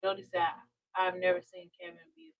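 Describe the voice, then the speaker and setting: A woman's voice: lowish voice, level tone, brisk, dry recording, rough recording. A woman co-hosting a podcast with her husband, chatting casually and chiming in with short agreeing replies. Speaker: podcast co-host. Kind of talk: casual chat with listeners.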